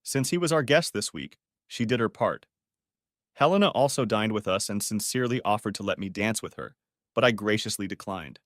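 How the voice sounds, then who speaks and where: Male audiobook narrator, animated delivery, dry booth sound. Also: lowish voice, brisk, no echo. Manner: expressive storytelling. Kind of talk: scripted story narration.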